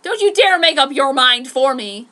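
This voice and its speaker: A woman's voice: high voice, animated, moderate pace, bright, forceful tone, pitched above the performer's own voice. A woman voicing a defiant, emotional, hot-tempered girl heroine in a story, melodramatic and indignant. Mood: furious.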